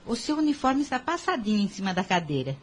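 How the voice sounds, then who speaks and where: A woman's voice: mid-range voice, sing-song, fast talker. A woman playing a poor, hardworking and devout mother in an audio drama. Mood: tender, resigned.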